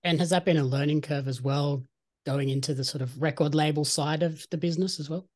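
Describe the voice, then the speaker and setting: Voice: mid-range voice, steady tone, fairly quick, darkish tone. An interviewer asking questions in a friendly, encouraging way.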